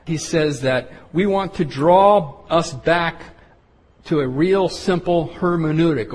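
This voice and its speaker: Earnest male Bible teacher, slightly high voice, explains point by point; reverberant hall. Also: a little mellow, slightly rough. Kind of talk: Bible study sermon.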